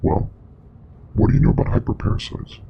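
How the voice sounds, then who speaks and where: A man playing a grave, knowing investigator and parasite expert in a scripted web horror drama, explaining in a measured, clinical way with a breathy, gravelly voice. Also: dull audio, background noise, lightly acted. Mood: grave, explanatory. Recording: music playing behind.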